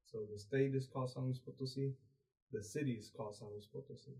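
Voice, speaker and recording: A man's voice: medium pitch, steady tone, fast, dark tone, low-quality recording. A young man raised in Mexico, a podcast guest chatting casually, rambling with many fillers and restarts. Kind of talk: casual interview chat.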